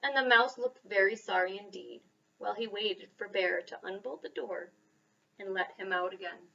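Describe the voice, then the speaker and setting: A woman reading a children's story aloud as a school reader, expressive, with dramatic emphasis and pauses. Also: mid-range voice, creaky, far from the mic, muffled recording.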